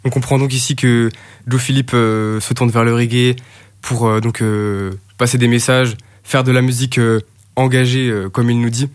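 Animateur radio, plein de euh, ton relax, voix moyenne, son bien sec. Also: male voice, mid-range voice, level tone, moderate pace, smooth, dry recording, clean studio sound. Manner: filler-heavy, frequent hesitations. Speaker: radio host. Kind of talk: casual live radio presenting.

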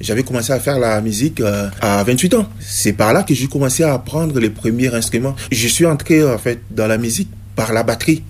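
A man from Congo, a reggae musician answering interview questions in a halting way, full of hesitations and restarts. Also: mid-range voice, expressive, slight background noise.

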